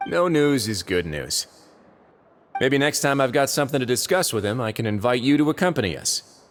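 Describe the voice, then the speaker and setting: An actor playing a composed, imposing duke who runs a prison in a video game, measured, self-assured and dryly polite. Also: male voice, medium pitch, sing-song, slight background noise, natural voice. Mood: calm, reassuring. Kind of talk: English video game voice acting.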